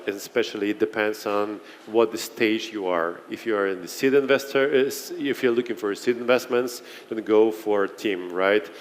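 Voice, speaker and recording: A man moderating a conference panel, talking haltingly and searching for his words. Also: fairly low pitch. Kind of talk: panel discussion. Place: conference hall. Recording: reverberant hall with faint noise.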